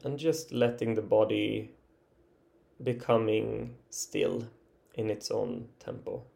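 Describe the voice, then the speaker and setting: Slow, gravelly man guiding a relaxing meditation. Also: lowish voice, steady tone. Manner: gentle, unhurried, halting with long pauses. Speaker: guided meditation teacher. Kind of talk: guided relaxation meditation, improvised.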